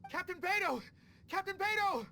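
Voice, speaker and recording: An actor playing an eager, deferential rogue in a video game who wants to go straight, earnest, excitable and a little hesitant. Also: male voice, extremely high pitch, normal speed, slight background noise, full character voice. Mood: excited, eager.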